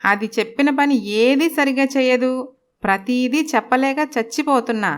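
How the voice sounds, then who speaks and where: A high, clean-voiced stepmother from an animated moral tale, acted by a woman. She is harsh and emphatic, quick to nag, and dotes on her own daughter. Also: smooth, natural voice. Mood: scolding. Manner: nagging, emphatic. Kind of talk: Telugu animated moral story acting.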